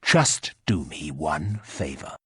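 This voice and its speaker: A man voicing a gruff, sardonic warrior hero in a video game, speaking in a formal, deadpan, husky way. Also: rumbling low, slow, no room sound, studio quality, exaggerated voice. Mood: grim defiance.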